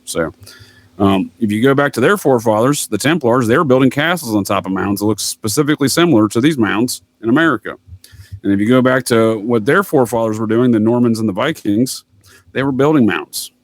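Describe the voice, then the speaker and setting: A man talks as a guest on a podcast in a medium-pitched voice. He explains his theories assertively and conversationally. The sound is dry, with little room in it. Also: dry recording. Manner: assertive, addresses listener as "sir". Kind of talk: conspiracy-theory talk in a podcast interview.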